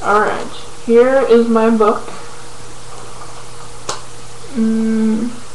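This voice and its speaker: Young woman vlogger from California, slow halting webcam chat, light echo. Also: fairly high pitch, flat delivery, a little hiss or hum. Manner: hesitant, many ums, halting when reading aloud. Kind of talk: casual chat answering a questionnaire. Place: small bedroom indoors. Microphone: webcam at close range.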